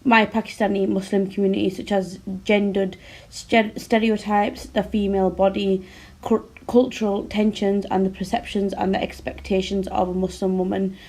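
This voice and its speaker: A British-accented woman whose first language is Urdu speaks as a guest artist. Her delivery is measured and formal, the pitch staying fairly flat and even, mid-range for a woman. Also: rough recording. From United Kingdom. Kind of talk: prepared statement in an interview.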